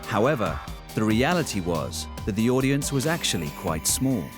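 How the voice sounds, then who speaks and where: A man's voice: fairly low pitch, very animated, normal speed, over music. A man reading scripted documentary narration.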